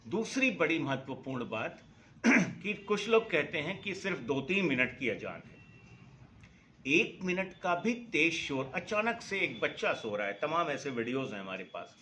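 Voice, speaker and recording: A middle-aged man explains the rules point by point, earnest and emphatic. His pitch rises and falls a lot as he leans into key points. A faint hum of room noise sits under his voice. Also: big pitch swings, a little slow, sharp tone. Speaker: noise pollution campaigner, foundation secretary. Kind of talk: explanatory interview answer.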